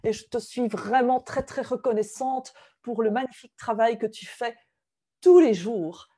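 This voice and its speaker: A woman's voice: fairly high pitch, measured pace, warm tone, husky. A woman hosting a semi-formal interview, speaking in an effusive, appreciative way. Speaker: interview host and constellation trainer.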